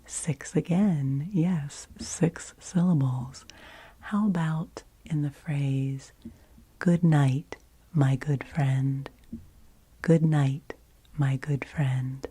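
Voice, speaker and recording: A woman whispering softly in ASMR style, gently and patiently giving instructions and repeating phrases as a host. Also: very deep voice. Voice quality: breathy whisper. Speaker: host of a relaxation ASMR show. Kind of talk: whispered ASMR, leading word games.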